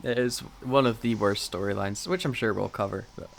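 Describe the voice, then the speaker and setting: A man's voice: on the low side, brisk, vocal fry, slight background noise. A man co-hosting a podcast in casual, joking review chat, with a self-deprecating manner. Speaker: podcast co-host.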